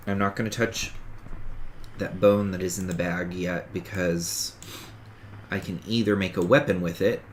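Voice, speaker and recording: A man's voice: deep voice, steady tone, measured pace, a little hiss or hum. A man with a stuffy, sniffly voice from a cold, casually talking through a survival game as he plays, rambling and correcting himself. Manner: rambling, self-correcting, talks through each game step. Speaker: gaming streamer. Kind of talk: casual let's-play commentary.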